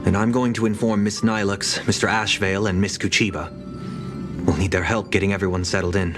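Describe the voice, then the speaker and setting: Game role: reserved young man, calm and grave, low register, played by a man. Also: fairly quick, over music, natural voice. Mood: calm, reflective. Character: calm, serious, reserved young male traveler. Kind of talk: video game story voice acting.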